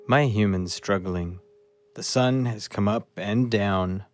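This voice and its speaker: A man's voice: fairly low pitch, slow pace, dry recording, faint music behind. An actor playing a small, earnest, devoted rescue dog who tells his story wistfully in an audio play. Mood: worried, wistful.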